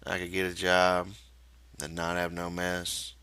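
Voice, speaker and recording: Man playing weary storybook ranch hand, American accent, resigned, curt, flat delivery, slow, dry sound. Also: deep voice, clear, resonant tone, dry recording. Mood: monotone reciting. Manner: flat, wooden, quiet.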